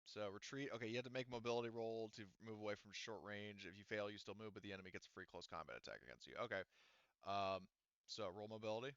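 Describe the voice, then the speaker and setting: A man running a tabletop roleplaying game in a loose, rambling way, swearing casually as he works out the rules. His voice sits a little low but sounds bright and crisp. The sound is dry and slightly dull. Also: fast talker, no room sound. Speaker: game master.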